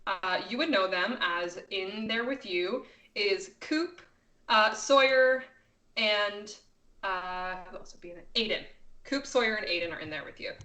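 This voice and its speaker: A woman's voice: medium pitch, lively intonation, unhurried, bright, forceful tone. A woman improvising narration as game master of a tabletop game, casual and amused in manner. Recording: roomy, echoey sound.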